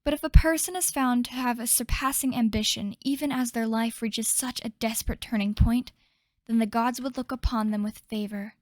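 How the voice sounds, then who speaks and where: Woman doing solemn fantasy game narrator, measured, highish voice, super dry recording. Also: fairly even intonation, darkish tone, dry recording, close to an everyday voice.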